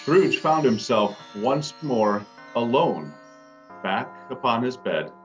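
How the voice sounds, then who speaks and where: A man's voice: medium pitch, sing-song, slow, music in the background. A man narrating a scripted story in a dramatic storytelling style.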